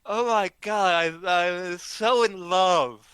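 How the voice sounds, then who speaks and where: A man's voice: high-pitched, takes it slowly, clean tone, no echo, voice pushed up. A man voicing a drunk, lovesick young college party-goer in a story, stammering and repeating himself as he pleads. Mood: lovesick pleading. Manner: stammering, repetitive, excitable.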